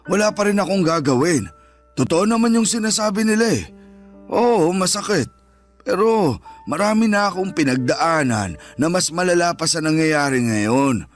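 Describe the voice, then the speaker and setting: A fairly high male voice from an actor as a middle-aged dad, patient yet firm. He admonishes with resignation in radio-drama style, soft music underneath. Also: close to an everyday voice. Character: stern, patient, long-suffering father, blind in one eye.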